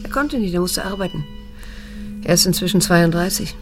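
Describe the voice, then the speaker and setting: An actress in a radio drama playing a weary middle-aged daughter, restrained and pained, in dialogue and inner monologue. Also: female voice, lowish voice, animated, brisk, soft background music, natural voice. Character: weary, burned-out daughter confronting her guilty old mother. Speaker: actor and narrator in a radio drama.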